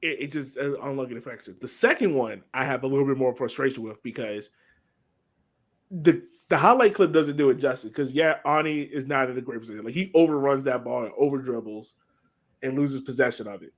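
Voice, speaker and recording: A man's voice: medium pitch, fairly quick. Casual man talking soccer, thinking aloud. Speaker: sports podcast co-host. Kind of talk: casual soccer match breakdown.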